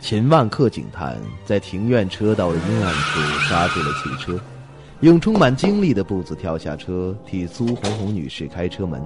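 Male narrator for radio suspense drama, low voice, animated dramatic delivery. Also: normal speed, over music.

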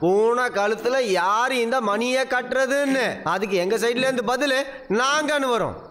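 A man's voice: extremely high pitch, moderate pace, fairly bright tone. A man voicing a boastful, burly middle-aged police inspector in a cartoon dub, blustering and pompous. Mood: bossy. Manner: blustering, pompous, repeats catchphrases. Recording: music behind the dialogue.

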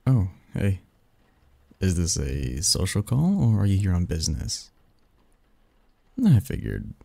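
A man acting a low, creaky, snarky research assistant. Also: big pitch swings, slight background noise, heavily acted. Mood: casual, wry. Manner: wry, pedantic, halting mumbles and soft chuckles. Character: snarky, cynical young male research assistant, ambitious and bookish. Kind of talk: scripted ASMR audio roleplay, conversational.

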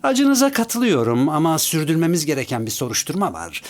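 Radio comedy casting: courteous, probing detective, melodramatic phrasing, lively swings in intonation. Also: male voice, on the high side, fairly quick. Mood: polite, probing. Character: polite, inquisitive male detective, drily theatrical and florid. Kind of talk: comic radio theatre dialogue.

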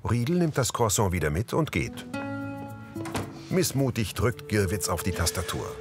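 A man reading audio description for a TV series in a neutral, measured way. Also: fairly low pitch, very animated, music in the background.

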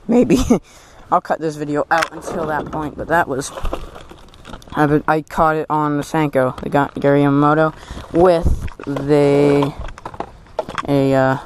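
A young man filming himself fishing from a small boat on a lake, talking casually and exclaiming excitedly over his catch through a head-mounted camera. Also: a little high, slight background noise. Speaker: fishing vlogger. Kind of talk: casual commentary while fishing. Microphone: head-mounted action camera.

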